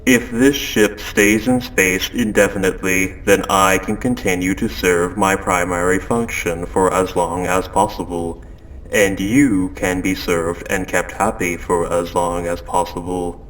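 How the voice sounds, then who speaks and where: A spaceship computer gone quietly mad, acted out by a man, speaks in a low, gravelly voice. It is polite and measured, coldly insistent on control, with deliberate pauses in an audio drama monologue. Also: fairly even intonation, a little hiss or hum, poor audio. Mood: calm, menacing. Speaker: audio roleplay performer. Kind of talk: scripted second-person audio drama monologue.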